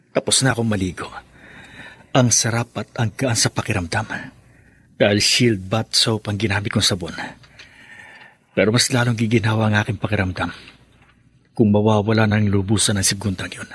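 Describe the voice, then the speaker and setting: A man's voice: lowish voice, steady tone, normal speed, long pauses, fairly bright tone, husky. An actor playing a spiteful grown son in a radio drama, scornful toward his family. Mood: gloating. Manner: scornful, defiant.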